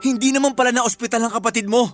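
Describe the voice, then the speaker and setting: Woman acting filipino radio drama gf, angry n suspicious, rapid sarcastic talk, music underneath. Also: a little high, steady tone, lightly acted. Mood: angry, accusing. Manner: accusing, sarcastic, confrontational. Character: angry, suspicious girlfriend confronting her lying boyfriend. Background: background music.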